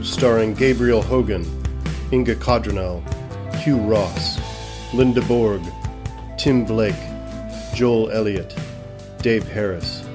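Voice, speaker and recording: Male narrator over music. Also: on the low side. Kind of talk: scripted narration of comedy audio drama.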